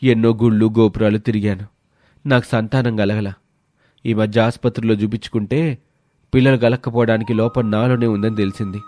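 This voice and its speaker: A man's voice: on the low side, level tone, fairly quick, darkish tone, no echo, lightly acted. A man playing a troubled, devoted husband in a moral story, speaking in a plaintive, confiding way. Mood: troubled pleading. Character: troubled married man, childless, devoted to his wife. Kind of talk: dramatised moral story acting.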